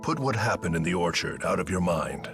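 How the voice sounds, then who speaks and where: An actor playing a stern, authoritative great spirit in a video game, speaking in a formal, measured, solemn way. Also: male voice, deep voice, expressive, over music. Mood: grave. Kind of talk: dramatic video game voice acting.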